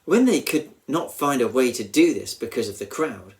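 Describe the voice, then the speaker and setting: A man, a church minister, reading scripture aloud in a solemn, measured and expressive way with deliberate pauses. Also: mid-range voice.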